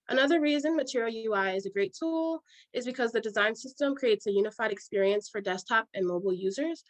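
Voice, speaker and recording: A young woman from New York steadily reading her part of a software project presentation. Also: fairly high pitch, no echo, rough recording. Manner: steady, reads from a prepared script. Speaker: student presenter, scrum master.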